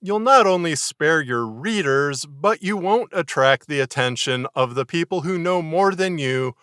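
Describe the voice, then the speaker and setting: A man narrates a scripted lesson on writing in a bright, forceful voice. The sound is very dry and close. He is articulate and measured, stressing his points like a podcaster who writes fiction. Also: a little high, no echo. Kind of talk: scripted instructional narration to camera.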